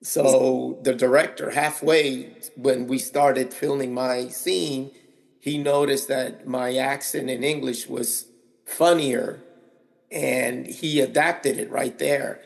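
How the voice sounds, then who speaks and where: A man's voice: mid-range voice, fairly even intonation, slow pace, creaky, in a large hall. A man whose first language is Spanish, an actor speaking English in a hesitant, thoughtful way on a video call. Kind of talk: casual panel chat.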